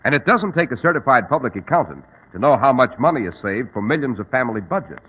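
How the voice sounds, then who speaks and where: A man with a husky voice reading a scripted 1940s radio advertisement as a commercial announcer. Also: mid-range voice, animated, quick pace. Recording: muffled old radio, long reverberant tail.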